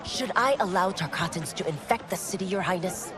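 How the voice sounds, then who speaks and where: Low-voiced, firm woman constable actress. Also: animated, fairly quick, over music, a crowd in the background, close to an everyday voice. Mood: defiant. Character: defiant, dutiful female city constable. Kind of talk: cinematic fantasy game acting.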